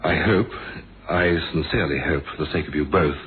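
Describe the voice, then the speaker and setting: A man acting a courteous, probing police inspector in a radio mystery drama, formal and measured, firm but humane. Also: extremely low pitch, husky, exaggerated voice. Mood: gentle, regretful. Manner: formal, measured, polite questioning. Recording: muffled, noisy old radio drama recording.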